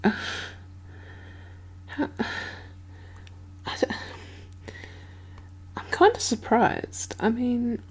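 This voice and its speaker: A woman playing a caring, uneasy survivor of a zombie apocalypse in a soft-spoken ASMR roleplay, breathy and hesitant, often slipping into whispers. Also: a little high, full character voice. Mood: puzzled, musing. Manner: hesitant, musing, halting.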